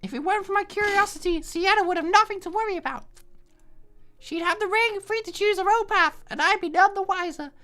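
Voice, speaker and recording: Man putting on an earnest high-pitched young woman. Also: a little fast, bright, forceful tone. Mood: regretful. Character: naive, earnest young woman, visual novel heroine.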